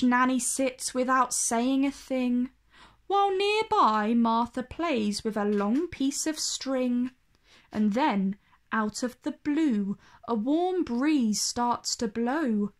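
A woman narrating a children's storybook aloud with expressive, deliberate phrasing. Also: sits high.

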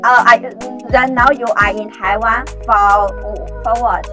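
A young woman from China, a native Mandarin speaker, chatting in careful, halting English and searching for words. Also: a little high, fairly even intonation, pushed and bright. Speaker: video chat stranger. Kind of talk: casual chat. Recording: music playing under voice.

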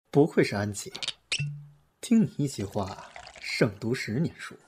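A man's voice: mid-range voice, very animated, slow, a little mellow, slight background noise. Man playing an arrogant, suave male pop star. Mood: sly, suggestive. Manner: haughty, suave. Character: arrogant male pop star, two-faced, smooth in public. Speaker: voice actor in an audio drama. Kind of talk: Chinese audio drama acting.

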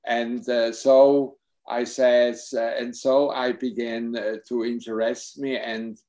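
Casting: older German-accented man, very slow, dark mellow tone, hesitant speech, laptop mic at home. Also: medium pitch, flat delivery. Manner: halting, searching for English words. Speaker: psychotherapist and film subject. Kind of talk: Q&A on a video call. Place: home room with bookshelves. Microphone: laptop webcam mic on video call.

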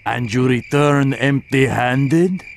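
An actor playing a big, sardonic, menacing pirate villain in a video game, with old-time pirate speech. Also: male voice, medium pitch, slow pace, creaky, a little hiss or hum. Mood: skeptical. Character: big menacing mocking pirate villain, male, middle-aged. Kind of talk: video game cutscene acting.

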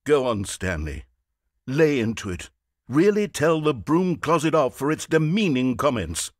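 An actor playing a fussy, condescending, melodramatic game narrator, speaking in an articulate, emphatic storybook style. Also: male voice, mid-range voice, big pitch swings, unhurried, no room sound, clean studio sound. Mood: rallying, firm.

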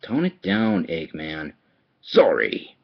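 A man's voice: low voice, very animated, takes it slowly, gravelly, slightly rough. A man speaking informally in asides during an amateur voice demo. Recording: muffled lo-fi home recording.

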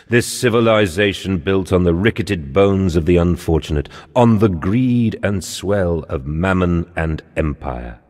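An actor playing an anguished, guilt-ridden father in a video game, brooding and desperate, with a theatrical, tormented delivery. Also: low-pitched. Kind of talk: dramatic video game voice acting. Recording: long cavernous reverb.

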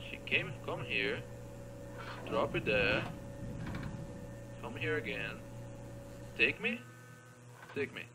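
A man's voice: medium pitch, big pitch swings, slow, creaky, pauses often, noisy background. A man doing casual gameplay commentary, muttering to himself in short fragments. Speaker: gamer playing and commenting. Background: game music.

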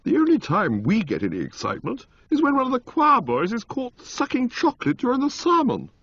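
A giddy, carefree young gentleman bursts out in high, excited exclamations, put on by a man reading a storybook aloud. The voice leaps wildly in pitch and has a husky edge. The recording is muffled and dry. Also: brisk, full character voice. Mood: exuberant. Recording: dull lo-fi, dry.

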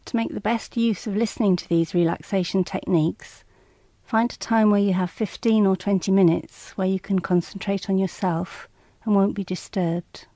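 A woman reading scripted guided relaxation instructions in a calm, measured, soothing and slightly clinical manner. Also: medium pitch, dry recording.

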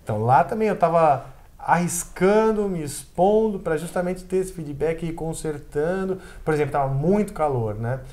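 A middle-aged Brazilian man talking as a guest on a couch, an animated storyteller who stumbles and repeats words. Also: a little high, normal speed. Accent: Brazilian Portuguese. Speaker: guest musician. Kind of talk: casual interview conversation. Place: indoor living room set on a couch.

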